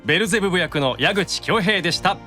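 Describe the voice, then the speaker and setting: A man's voice: high voice, fast, sharp tone, faint music behind. A man chatting casually as a guest on a radio-style show, with playful banter. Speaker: podcast guest, voice actor.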